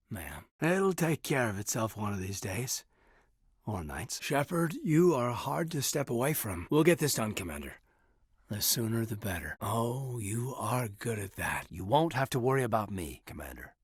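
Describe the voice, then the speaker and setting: Casting: loyal military officer for a sci-fi game, husky and dry-recorded, earnest, measured, naturalistic delivery. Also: male voice, medium pitch, no room sound. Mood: sincere, intimate. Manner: sincere, measured, slightly hesitant. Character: earnest, loyal adult male space marine officer. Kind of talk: naturalistic video game dialogue acting.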